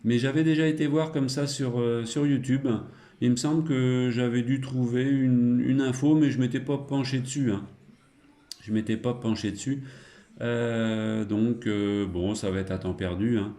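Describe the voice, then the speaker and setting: A man's voice: mid-range voice, steady tone, moderate pace, warm tone. A middle-aged man chatting casually and colloquially as an amateur radio operator. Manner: chuckling, colloquial, trailing tag words. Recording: roomy, echoing room sound.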